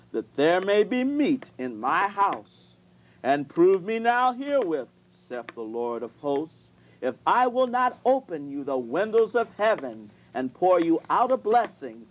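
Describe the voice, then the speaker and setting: A reader delivering scripture in a deliberate manner, halting at times. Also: mid-range voice. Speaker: Bible reader. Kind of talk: scripture reading.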